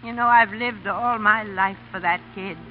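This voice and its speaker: An actress playing a frightened, downtrodden middle-aged mother, halting and pleading, in a 1930s radio melodrama. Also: mid-range voice, fairly even intonation, faint music behind, natural voice. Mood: wistful, sorrowful. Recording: dull 1930s radio broadcast.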